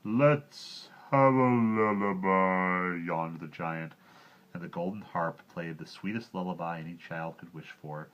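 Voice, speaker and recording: A man's voice: low voice, level tone, normal speed. A man narrating a children's story expressively as a storybook reader, on a slightly dull recording.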